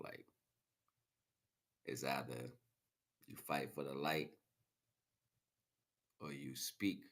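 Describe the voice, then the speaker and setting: Really deep american guy on a livestream, riffing spiritual stuff in loose rhymes and slang. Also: vocal fry, pushed and bright. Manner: rhythmic, rhyming, slangy philosophizing. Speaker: livestream host. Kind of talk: freestyle spiritual monologue to stream viewers.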